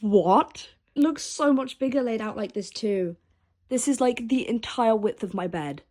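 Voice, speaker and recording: A young woman, her voice gravelly and hoarse from shouting, chatting excitedly in a casual vlog. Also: fairly high pitch, measured pace. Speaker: vlogger.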